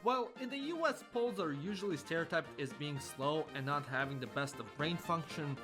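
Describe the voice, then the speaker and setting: A wry, sarcastic male narrator reads a comic script in a mock-scholarly tone, slightly high for a man. Music runs clearly beneath him throughout. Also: lively intonation, brisk. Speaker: video narrator.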